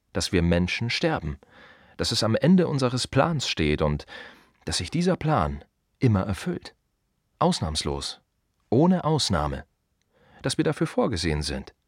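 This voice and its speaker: A man's voice: on the low side, no echo, studio quality, heavily acted. A man playing a world-weary, wry gravedigger in a radio play monologue, talking conversationally in short, emphatic, rhythmic repeated phrases. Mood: dry, reflective. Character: world-weary, wry male gravedigger musing on death and the city.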